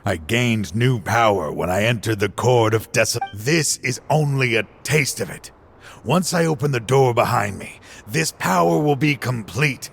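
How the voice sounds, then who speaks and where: A man's voice: medium pitch, animated, a little slow, vocal fry, fairly bright tone, slight background noise. An actor playing a bitter, regretful middle-aged former lawman turned video game villain, with weary, brooding delivery. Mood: menacing. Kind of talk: dramatic video game voice acting.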